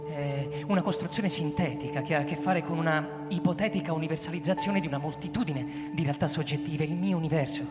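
A male actor plays a troubled android man reasoning his way through obsessive thoughts in a radio drama. The voice sits a little high and halts on repeated words. It rings through a reverberant hall over loud music. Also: natural voice. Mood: obsessive, brooding. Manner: halting, repeats words to himself.

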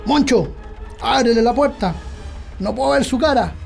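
An actor playing a gruff, suspicious Chilean countryman with a creaky voice in a radio drama. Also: extremely high pitch, moderate pace, a little mellow, full character voice. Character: gruff, suspicious Chilean peasant husband with a gun. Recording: music behind the voice.

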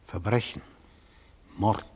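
A man's voice: slow pace, darkish tone, close to an everyday voice. Man acting stern judge in reverberant hall. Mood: dry, official. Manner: dry, official, curt. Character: stern, sober male judge questioning a defendant. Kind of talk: 1970s German radio play acting. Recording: reverberant courtroom-like radio studio sound.

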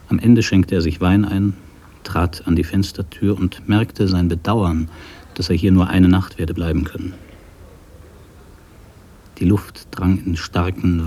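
A man narrating a literary radio drama from a script in a measured, sober manner. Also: sits low, dark tone, slightly rough.

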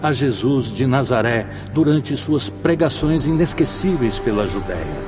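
A Brazilian man acting a solemn, fatherly spirit mentor who addresses an assembly in measured, preacherly radio-drama oratory. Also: mid-range voice, lively intonation, close to an everyday voice. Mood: reverent. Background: music.

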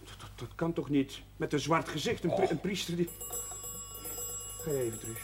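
An actor playing a fussy, flustered village chaplain, agitated and repeating himself, in a television period drama. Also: male voice, a little high, normal speed, lightly acted. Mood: nervous, desperate. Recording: noisy old television drama soundtrack.

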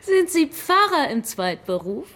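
A woman's voice: high-pitched, very animated, a little slow, sharp tone, heavily acted. An actress playing a cool, self-assured, flirtatious woman with a mocking manner in a German radio drama. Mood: teasing, probing. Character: cool, teasing seductive woman under police suspicion.

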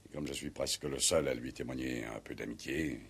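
Casting: pompous older ex-Resistance commander, deep and husky, eccentric village notable, vintage radio drama. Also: male voice, steady tone, fairly quick, exaggerated voice. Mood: emphatic. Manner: pompous, indignant, emphatic. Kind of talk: 1960s French radio play acting.

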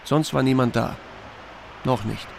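Male audiobook narrator, mid-pitched, dry and understated first-person storytelling, noticeable background noise. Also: measured pace, creaky. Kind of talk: scripted narration with acted dialogue.

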